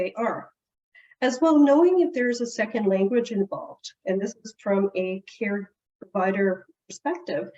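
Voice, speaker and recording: Woman presenting from a distant mic. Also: mid-range voice. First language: Portuguese. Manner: warm, conversational explaining with small restarts. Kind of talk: webinar presentation. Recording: distant, dull-sounding webinar mic.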